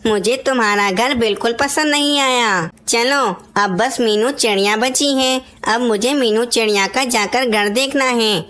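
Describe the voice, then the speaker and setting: A pompous parrot king, acted by a woman, with a fairly high voice. He speaks with authority, appraising his subjects as a ruler in a children's cartoon would. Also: a bit bright. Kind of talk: Hindi animated children's story acting.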